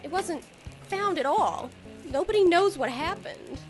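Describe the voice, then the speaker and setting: Actress as a high, coy young flapper. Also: female voice, animated, measured pace, faint music behind. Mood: defensive. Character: coy, proud young flapper socialite fiancee. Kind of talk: old time radio drama acting.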